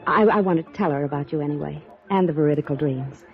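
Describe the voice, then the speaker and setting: A woman's voice: low voice, quick pace. An American woman playing an earnest, trusting young society woman in a 1950s radio drama. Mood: earnest, pleading. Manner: earnest, insistent. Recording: dull vintage radio recording, music behind.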